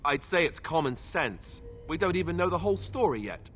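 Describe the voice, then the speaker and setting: An actor playing a sensible, wary young warrior in a video game. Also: male voice, fairly high pitch, very animated, a little fast, a bit bright, soft background music.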